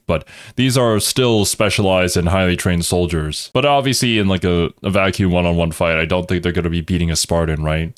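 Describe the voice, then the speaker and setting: A man, a native Thai speaker, with a low-pitched voice reacts casually as a video host. He muses aloud in a rambling, reflective way. The sound is close and dry. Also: no echo.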